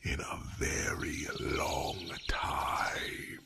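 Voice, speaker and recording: A man voicing a huge, weary old dragon, gentle and courtly, in a gravelly, breathy growl with rumbling hums, for a fantasy ASMR roleplay. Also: a little hiss or hum. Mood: warm, deferential. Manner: slow, halting, rumbling hums between phrases.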